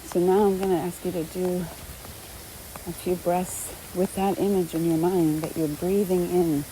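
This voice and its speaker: A woman leading a guided meditation in a gentle, unhurried manner, speaking unscripted instructions in short phrases with long pauses. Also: fairly low pitch, little pitch movement, a little hiss or hum, poor audio.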